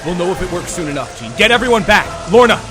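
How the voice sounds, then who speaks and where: A man's voice: sits high, very animated, fast talker, over music. A man playing an urgent superhero team leader in an audio drama, giving clipped orders. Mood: urgent, commanding.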